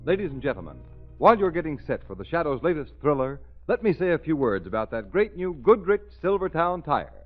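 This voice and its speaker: A man's voice: fairly high pitch, big pitch swings, brisk, faint music behind. A man announcing in a scripted 1930s radio style, dramatic and emphatic. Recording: muffled old radio recording.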